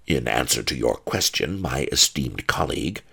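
Skittish henchman played by a man, audiobook: hissing sarcasm, husky, very changeable pitch. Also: lively intonation, normal speed, bright, forceful tone, full character voice. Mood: sneering. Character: sneering, jumpy male grave-digging henchman.

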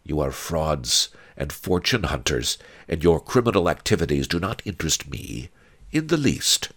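A man's voice: rumbling low, very animated, moderate pace, fairly bright tone, breathy edge, full character voice. A man reading an audiobook and voicing a huge, cold, tyrannical old grandmother who talks in curt, commanding growls.

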